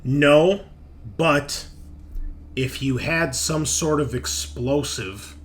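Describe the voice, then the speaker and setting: A man narrating a sci-fi horror tabletop game as game master, speaking haltingly and thinking aloud with pauses. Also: fairly high pitch.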